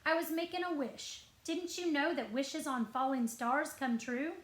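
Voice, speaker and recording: A woman voicing a gentle, doting old grandmother in a storybook, tender and gently teasing. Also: high-pitched, a little slow, voice pushed up. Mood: wondering. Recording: roomy, echoing indoor sound.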